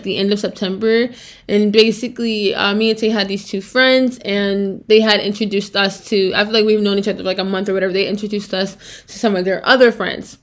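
A young woman hosting a casual solo podcast, chatty and rambling with lots of fillers, her voice a little rough from a cold. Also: medium pitch, a bit bright. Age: young adult. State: has a cold, throat clearing. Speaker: podcast host.

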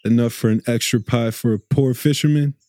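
An actor playing a jovial, stout fishmonger who banters in a friendly, teasing way in a radio drama. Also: male voice, medium pitch, steady tone, a little fast, dark tone, no echo, close to an everyday voice. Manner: chummy, bantering.

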